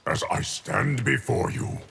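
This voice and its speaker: A man playing a stoic, eternal guardian of time in a video game, measured and formal. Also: mid-range voice, lively intonation, creaky, background noise. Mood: stoic, solemn. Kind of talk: video game fight dialogue.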